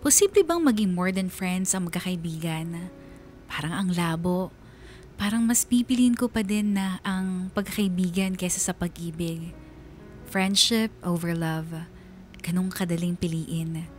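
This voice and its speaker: A woman's voice: on the low side, a little slow, natural voice. A woman playing an earnest young woman who narrates her own story in a scripted radio drama. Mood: wistful. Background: faint music.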